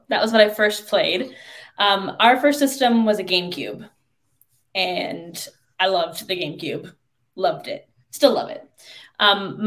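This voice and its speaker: Casual woman guest with mid-pitched voice. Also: a bit bright. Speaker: podcast guest. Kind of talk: casual personal storytelling.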